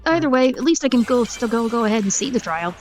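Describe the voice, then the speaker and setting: A woman's voice: on the high side, animated, fast. A woman chatting casually as a friend on a game stream. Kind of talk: casual voice chat.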